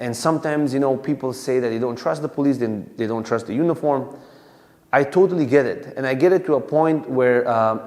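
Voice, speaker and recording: A man's voice: on the low side, a little mellow. A man in his thirties from Afghanistan, a police officer giving an informal community talk in a conversational way with many fillers and restarts. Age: 30s. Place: community hall. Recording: long hall reverb.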